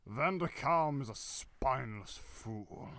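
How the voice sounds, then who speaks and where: A man acts a villainous court official in an audio drama. He has a medium-pitched voice and mutters to himself, resentful and plotting. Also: animated, slow pace, sharp tone, no room sound, studio quality, exaggerated voice. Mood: resentful, scheming. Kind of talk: radio play acting, fan production.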